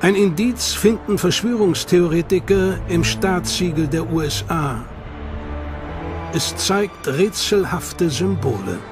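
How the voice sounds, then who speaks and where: A male narrator reads a documentary script with dramatic, suspenseful emphasis. His voice is notably high for a man. Clear music runs beneath his studio voice-over. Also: slow pace. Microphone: studio mic.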